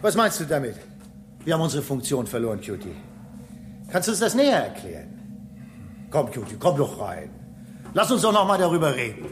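Earnest space station engineer, radio play, kinda high voice, swings around a lot, coaxing and insistent. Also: male voice, wildly swooping pitch, brisk, pauses often, a little hiss or hum. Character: human station engineer, male, reasoning patiently with a robot. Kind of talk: German radio drama acting.

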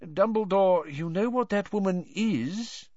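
A man's voice: high-pitched, a little slow, darkish tone, dry recording, muffled recording, pitched above the performer's own voice. A man voicing a pompous, fussy, impatient older government minister in an audiobook. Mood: flustered. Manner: blustering, emphatic, pompous. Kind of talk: audiobook character acting.

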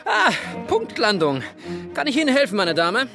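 An actor playing an overeager, pushy superhero in a children's radio play, showy and insistent. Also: male voice, very high-pitched, huge pitch swings, normal speed, creaky, heavily acted. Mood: eager, insistent. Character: overeager, pushy male superhero keen to rescue. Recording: music behind.

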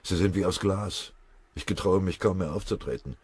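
A man's voice: low voice, moderate pace, darkish tone, breathy edge, dry recording, voice pushed up. A man voicing an exhausted, bitter soldier who pleads to rest and snarls at his leader. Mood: pleading.

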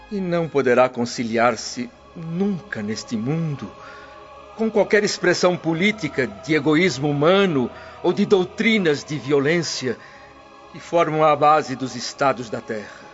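An actor playing a humble, gentle freed preacher in a radio drama, speaking in unhurried, earnest sermons. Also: male voice, fairly high pitch, lively intonation. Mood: preaching. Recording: dull lo-fi audio with faint music.

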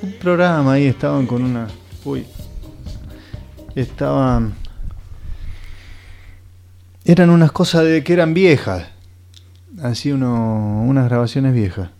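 Un actor como hombre pausado y titubeante. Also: male voice, mid-range voice, expressive, mellow, faint music behind. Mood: hesitant, distracted. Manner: hesitant, trailing off. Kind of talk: naturalistic radio play dialogue.

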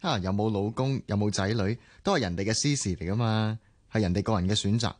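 A man's voice: fairly low pitch, a little fast, natural voice. Easygoing young Hong Kong man played by an actor. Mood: defensive. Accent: Hong Kong Cantonese. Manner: friendly, casual, self-assured. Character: easygoing, confident young male computer animation designer. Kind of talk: radio drama acting.

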